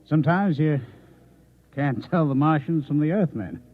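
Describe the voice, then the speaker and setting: A man's voice: fairly high pitch, moderate pace, lightly acted. An actor playing a cagey, wry man drinking at a bar in a 1950s radio drama, on an old muffled recording.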